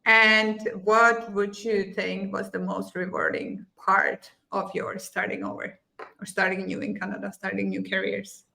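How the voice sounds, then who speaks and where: A woman's voice: mid-range voice, flat delivery, measured pace, gravelly, bright, forceful tone. A woman hosting a casual interview, asking loose questions and giving short affirmations.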